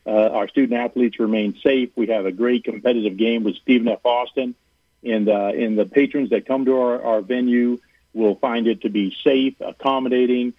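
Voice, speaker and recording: A man's voice: medium pitch, fairly even intonation, moderate pace, no echo. Man talking on a tinny phone line. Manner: earnest, rambling with many fillers and restarts. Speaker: athletic director guest. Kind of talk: radio interview on a phone line.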